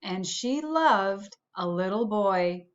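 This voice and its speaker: A woman's voice: mid-range voice, expressive, slow, muffled recording. A woman reading a storybook aloud to children, like a school principal addressing pupils.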